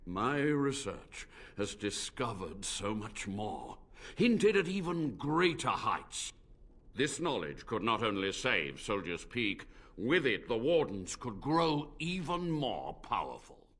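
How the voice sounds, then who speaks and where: An actor playing an ancient, unrepentant blood mage in a fantasy video game, speaking in a grave, formal, theatrical way. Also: male voice, lowish voice, very animated, slow, a bit bright, heavily acted. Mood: proud, intense.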